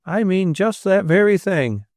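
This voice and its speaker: A man's voice: high voice, expressive, unhurried, darkish tone, no echo, clean studio sound, pitched above the performer's own voice. A man voicing a brash but kindly young man with a New York accent, talking in a plain, coaxing, easygoing way. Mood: breezy, coaxing. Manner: breezy, plain-spoken, coaxing.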